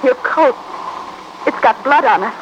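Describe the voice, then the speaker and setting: A woman's voice: high voice, animated, measured pace, dull audio, over loud music. An actress playing a young, trusting train passenger in a 1950s radio thriller, speaking in a polite, earnest, girlish way. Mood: curious, uneasy. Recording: noisy old radio recording.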